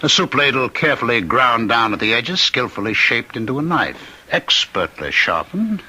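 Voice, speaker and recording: Radio mystery villain: condemned killer, deliberate and taunting, rough-edged, piercingly bright delivery. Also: male voice, mid-range voice, big pitch swings, heavily acted, poor audio. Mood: sly, savoring. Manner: deliberate, mocking, savoring his words. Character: condemned male killer, gloating, menacing, taunting, claims to be the Ripper.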